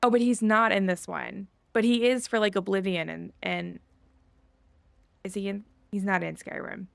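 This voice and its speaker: A woman's voice: medium pitch, fairly even intonation, moderate pace, creaky, no echo. A woman streaming a game, chatting casually and thinking aloud as she plays. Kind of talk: casual livestream chat while playing.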